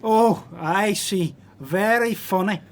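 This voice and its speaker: A woman's voice: mid-range voice, slow, lightly acted. A woman playing a polite, earnest stranger in a radio drama, speaking in a courteous, dry way. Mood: dry, unamused.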